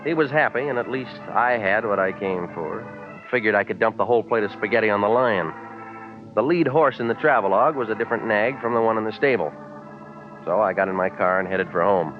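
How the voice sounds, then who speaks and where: An actor playing a cynical, wisecracking American private eye, deadpan and weary, in 1940s radio noir style. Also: male voice, on the low side, brisk, narrow, tinny audio, over music, close to an everyday voice. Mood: wry, world-weary. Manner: deadpan, sardonic. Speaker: lead investigator and narrator. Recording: thin, band-limited old radio recording.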